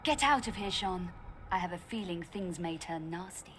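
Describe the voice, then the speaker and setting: A woman's voice: on the low side, lively intonation, moderate pace, slight background noise. An actress playing a confident, wry young female adventurer, speaking in a cool, dry manner for a video game. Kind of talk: video game cutscene acting. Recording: game audio with loud music.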